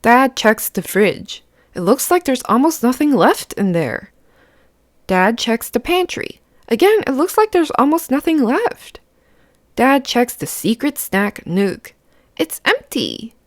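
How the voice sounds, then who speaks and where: A woman's voice: a little high, sing-song, a little slow, no echo, studio quality. A woman reading a picture book aloud to children, explaining simply and putting questions to the listener. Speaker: children's read-aloud host.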